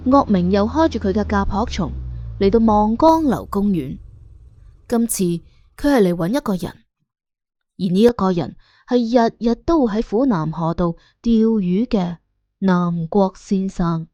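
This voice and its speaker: Woman reading an audiobook story, mellow voice, bit lo-fi, roomy. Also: medium pitch, animated, unhurried, clean studio sound. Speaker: audiobook narrator. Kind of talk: scripted audiobook narration in colloquial storytelling.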